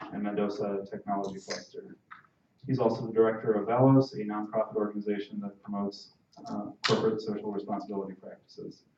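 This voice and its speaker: A fairly low-voiced man reads out a speaker's introduction at a meetup. He is picked up from across a roomy space, so his voice sounds distant. The sound is dull, with faint noise underneath. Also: dark tone. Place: meeting room.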